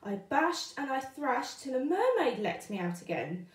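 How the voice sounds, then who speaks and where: A woman's voice: sits high, very animated, unhurried. A woman voicing a young boy fish from a storybook who earnestly makes excuses with tall tales. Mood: excited, boastful. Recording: roomy indoor echo.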